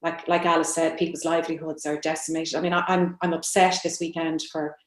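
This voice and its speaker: A woman speaks on a writers' panel in a lowish voice that stays very flat in pitch. She is thoughtful and hesitant, often going back over her phrases. The room around her echoes clearly. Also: brisk. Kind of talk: reflective panel conversation. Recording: roomy, echoing sound.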